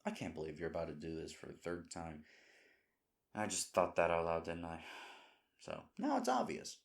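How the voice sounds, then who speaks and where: A man's voice: low-pitched, sing-song, moderate pace, gravelly, exaggerated voice. A man playing a sweet, flustered, self-deprecating boyfriend in an intimate roleplay audio, hesitant and rambling as he corrects himself. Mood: anxious self-talk.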